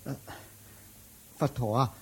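An actor playing a timid, confused young master of a wealthy family in a Cantonese radio drama, speaking in a pleading way. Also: male voice, slow pace, a bit bright.

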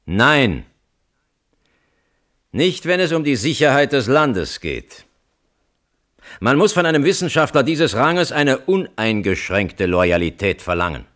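A strict army security colonel testifying in a radio drama, formal, very animated pitch. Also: male voice, takes it slowly, pauses often. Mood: stern. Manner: formal, uncompromising, precise. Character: hardline male military security colonel testifying as witness. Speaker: witness at a hearing. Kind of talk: radio play courtroom acting.

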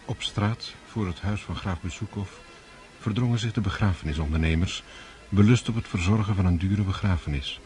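A man's voice: low voice, moderate pace. A man narrating a radio drama in a scripted, literary storytelling style.

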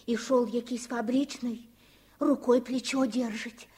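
High-voiced old village housekeeper. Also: female voice, fairly even intonation, a little slow, mellow, breathy edge. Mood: excited, horrified. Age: older. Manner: folksy rustic dialect, exclamatory. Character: old peasant housekeeper, excitable, superstitious, scolding, tender-hearted. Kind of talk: Soviet radio drama acting.

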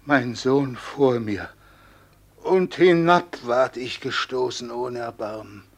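Radio play: frail old nobleman, low husky voice, lamenting at a slow, solemn pace. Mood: grieving. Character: wretched, starved old nobleman father, imprisoned in a tower. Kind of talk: classic German radio drama acting.

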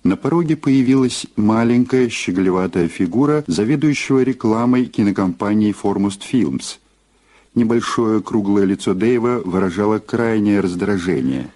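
A man's voice: fairly low pitch, lively intonation, moderate pace, warm tone. A man narrating a Russian audio drama in a dramatic scripted storytelling style. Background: music behind the voice.

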